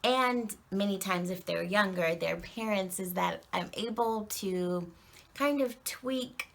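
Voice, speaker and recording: Soft-voiced woman with heavy vocal fry. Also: medium pitch. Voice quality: naturally soft voice. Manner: earnest, measured, with frequent ums. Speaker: acting and presentation coach. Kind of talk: recorded application statement.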